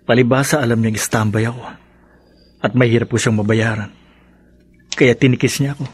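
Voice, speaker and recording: Husky, weary, poor father played by a man. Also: medium pitch, fairly even intonation, brisk, no room sound, close to an everyday voice. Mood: weary, bitter. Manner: plaintive, weary. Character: weary poor father, jobless, bitter but loving. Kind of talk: radio drama acting.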